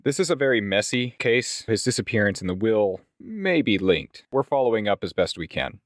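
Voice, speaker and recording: A man playing a businesslike investigator in a tabletop game, speaking in a formal, measured, wordy way. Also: on the low side, sing-song, dry recording, clean studio sound. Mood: grave, formal. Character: businesslike male investigator posing as a law firm's representative.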